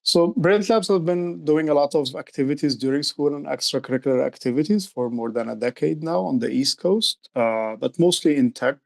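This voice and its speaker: Middle-aged man with creaky voice. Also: on the high side, fairly quick, few pauses. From Egypt. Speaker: youth program director, interview guest. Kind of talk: conversational interview explanation.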